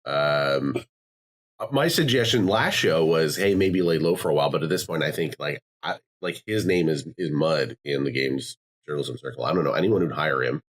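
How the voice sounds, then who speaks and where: Low-voiced man chatting on a podcast. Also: moderate pace. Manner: rambling, many fillers and restarts. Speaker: podcast co-host. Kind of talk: casual podcast chat.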